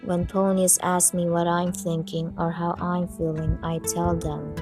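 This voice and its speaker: A woman voicing a stoic female cartoon pony scientist in a comic dub, blunt and deadpan. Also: fairly low pitch, unhurried, music in the background.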